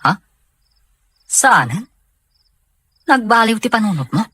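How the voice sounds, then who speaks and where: A questioning accomplice played by a man in an audio drama. His voice is a little high for a man and somewhat husky, recorded dry and close. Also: very animated, slow, sharp tone, dry recording, exaggerated voice. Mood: wary, questioning. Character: questioning male partner in crime. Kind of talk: acted dialogue in an audio drama.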